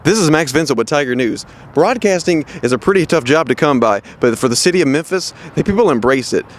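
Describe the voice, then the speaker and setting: A young man works as a student news reporter. He delivers scripted local reports in a medium-pitched voice, presenting each piece as a correspondent would. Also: a little hiss or hum. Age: young adult.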